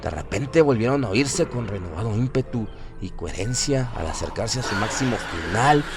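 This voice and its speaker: A man narrating a horror audio drama in a solemn, literary, deliberate storytelling manner. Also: mid-range voice, animated. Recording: loud music bed behind voice.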